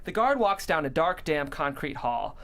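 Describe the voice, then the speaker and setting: A woman with a very lively, swinging delivery reads stage directions. She is the narrator and host of a comedy table read. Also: deep voice, moderate pace.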